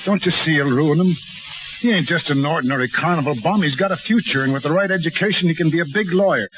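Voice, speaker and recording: An actor playing a gruff, possessive middle-aged carnival father, bitter and blunt, in 1930s radio drama style. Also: a little high, quick pace, close to an everyday voice. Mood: pleading. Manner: blunt, slangy, emotional. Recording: muffled, distant, noisy 1930s radio recording.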